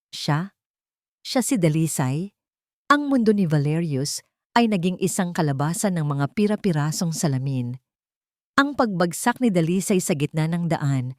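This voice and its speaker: A woman's voice: on the low side, a little mellow, no room sound, studio quality. A woman giving a scripted, dramatic narration of an audio love-and-betrayal story.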